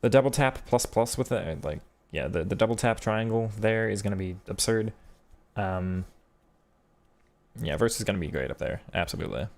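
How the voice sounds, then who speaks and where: Low-voiced man chatting on a stream. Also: lively intonation, normal speed, creaky, a little mellow. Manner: chatty, thinks aloud with frequent restarts. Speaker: streamer and game coach. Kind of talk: casual live-stream commentary reading chat.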